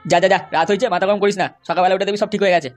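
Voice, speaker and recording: Cartoon dad, voiced by an actor, blunt and naggy, talks fast fast fast. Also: medium pitch, fairly even intonation, faint music behind, natural voice. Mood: scolding. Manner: scolding, blunt. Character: gruff dismissive father. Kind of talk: cartoon voice acting.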